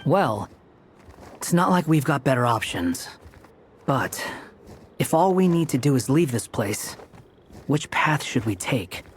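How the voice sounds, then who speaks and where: A man's voice: mid-range voice, very animated, unhurried, long pauses, slight background noise. A man playing an aloof, jaded young wanderer in an anime game, dismissive and dry. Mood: resigned.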